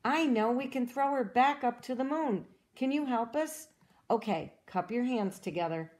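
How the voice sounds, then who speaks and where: A woman reading a children's storybook aloud as a cheerful young girl explorer, in a gentle, encouraging way that asks the listener questions. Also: on the high side, lively intonation, moderate pace, lightly acted. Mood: upbeat. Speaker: storybook reader.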